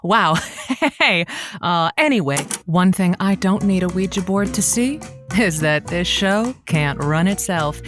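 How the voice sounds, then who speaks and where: A woman playing an effusive, flustered radio advice host who talks in dramatic, halting bursts. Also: lowish voice, expressive, a bit bright, faint music behind. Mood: chirpy, effusive. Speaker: call-in show host. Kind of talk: scripted audio comedy, radio host banter.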